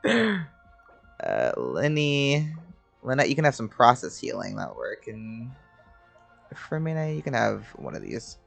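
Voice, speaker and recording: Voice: medium pitch, huge pitch swings, slow pace, gravelly, pauses often. A young adult streamer giving casual, reactive gameplay commentary, exclamatory and sweary, talking back to the characters. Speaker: game streamer. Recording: game music under the voice. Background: video game music and combat.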